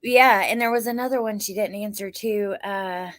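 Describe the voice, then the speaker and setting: A woman's voice: medium pitch, normal speed, fairly bright tone. A woman with a mid-range voice chats informally as co-host of a recap show. She talks loosely and conversationally, with an occasional mild curse. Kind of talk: casual recap and discussion.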